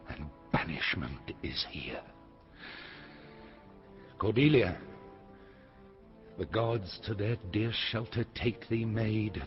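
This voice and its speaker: An actor playing a blunt, loyal nobleman, bold and earnest, declaiming in classical Shakespearean stage style. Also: low-pitched. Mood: solemn. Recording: muffled hall reverb, faint music.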